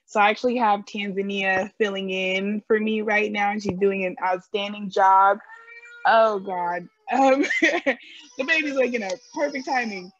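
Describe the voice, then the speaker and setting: A woman from Stockton, a program coordinator, dropping into a video call in a hurried, apologetic way. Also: mid-range voice, steady tone. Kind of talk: brief drop-in greeting on a video call. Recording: dull video-call audio.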